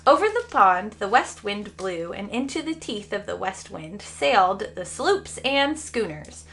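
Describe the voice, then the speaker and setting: A woman teacher reading a children's story aloud in an animated way, stopping to explain things to children. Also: medium pitch.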